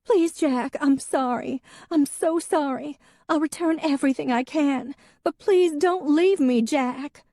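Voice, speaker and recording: Lady putting on a dramatic young wife, shrill and pleading, sounds high and pushed. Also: very animated, measured pace, darkish tone, no room sound, clean studio sound. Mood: pleading, tearful.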